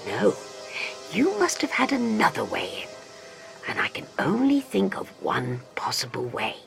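A calm, commanding doctor, voiced by a woman reading the story, speaking low and unhurried in a gravelly near-whisper. His pitch rises and falls widely with wry emphasis while he keeps a quiet authority, in a storybook telling. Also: over music, full character voice. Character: calm, commanding male doctor, dry-witted.

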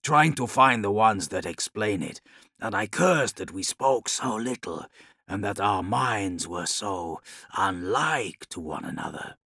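Man voicing a slow, brooding warlord khan, gravelly. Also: on the low side, expressive, sharp tone, no room sound, clean studio sound, exaggerated voice. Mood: brooding, resolute. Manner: measured, deliberate, formal phrasing.

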